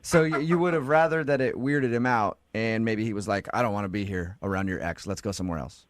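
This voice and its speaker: A man's voice: on the low side, brisk, no echo. A man hosting a radio show with playful, teasing banter.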